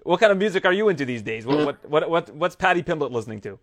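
Fast-talking upbeat sports interviewer man. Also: on the high side, expressive. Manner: upbeat, inquisitive, stumbling restarts.

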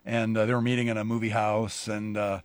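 Rambling, halting man hosting a chat. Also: fairly low pitch, monotone. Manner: rambling, halting, many restarts and fillers. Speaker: pastor and show host. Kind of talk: casual conversation.

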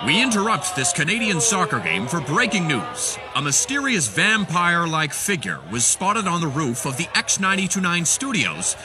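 A man playing an urgent, formal news anchor in a comic radio drama bulletin. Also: fairly high pitch. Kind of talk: mock breaking news bulletin.

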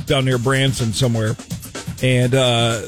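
A man's voice: medium pitch, flat delivery, a little slow, music in the background. A man hosting a radio show, bantering casually around a prank call.

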